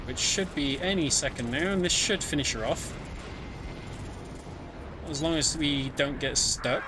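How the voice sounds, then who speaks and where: A man's voice: on the high side, measured pace, background noise. A man giving casual live speedrun commentary in a chatty, hesitant way. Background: video game music.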